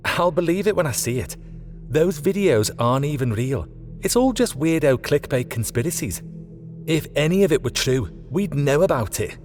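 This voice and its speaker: A man voicing a sharp, practical woman engineer in an audiobook, brisk and confident, firm yet friendly. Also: a little high, animated, in a large hall, soft background music, pitched above the performer's own voice, close to an everyday voice. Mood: firm, sceptical.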